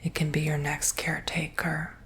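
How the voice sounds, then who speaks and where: A man playing a soft-spoken, earnest robot salesman in a whispered ASMR roleplay, breathy and gently reassuring. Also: fairly high pitch, completely flat delivery, moderate pace, a little hiss or hum, close to an everyday voice.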